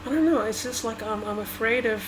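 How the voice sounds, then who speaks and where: A woman from Sri Lanka whose first language is Tamil, talking through her thoughts in a halting, hesitant way with many restarts. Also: a little high, background noise. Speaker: vlogger. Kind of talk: personal reflective monologue.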